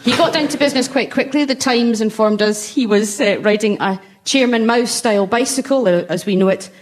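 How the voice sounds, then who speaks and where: A woman's voice: fairly high pitch, a little fast. A woman telling wry, hesitant comic anecdotes as a panellist on a comedy show, in front of a laughing audience. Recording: noisy live recording with audience laughter. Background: studio audience laughter.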